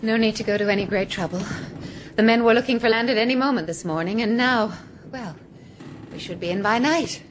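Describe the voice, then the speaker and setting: A woman's voice: fairly high pitch, fairly quick, slight background noise, close to an everyday voice. An actress playing a poised, genteel, weary young lady in a seafaring radio drama. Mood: polite. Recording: loud background ambience.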